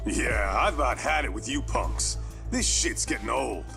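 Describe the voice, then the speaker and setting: Gravelly, blunt, mocking man. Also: mid-range voice, lively intonation, measured pace, over music. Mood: scornful. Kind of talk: English video game voice acting.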